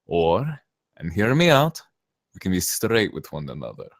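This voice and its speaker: A man's voice: low-pitched, wildly swooping pitch, moderate pace, gravelly, no echo, studio quality, exaggerated voice. A man at a screenplay table read playing a brash, cynical saboteur who talks in emphatic, profane slang. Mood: sly, frank. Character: cynical, brash zealot saboteur posing as safety inspector.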